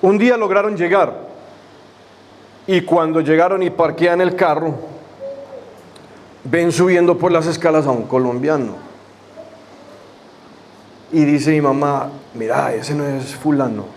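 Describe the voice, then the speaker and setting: A man preaching in a church, his voice sitting a little high for a man. He prays with fervent, repeated calls to God, then eases into a rambling, conversational testimony full of restarts. A faint noise floor runs under the recording. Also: unhurried, pauses often, a little mellow, poor audio. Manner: fervent praying, repeating "Señor" and "Padre". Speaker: pastor. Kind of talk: sermon with opening prayer and testimony.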